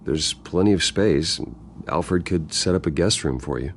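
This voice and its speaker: A man's voice: deep voice, a little slow, a little hiss or hum. An actor playing a composed, guarded American businessman who is protective of his friends, in a video game drama. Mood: calm, concerned. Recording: music behind the voice. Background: music.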